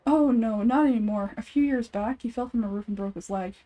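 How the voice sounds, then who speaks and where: A woman's voice: a little high, fairly quick, mellow, natural voice. A woman voicing a cheerful, earnest townsperson in fan-made fantasy game dialogue. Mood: friendly, chatty. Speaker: amateur voice actor.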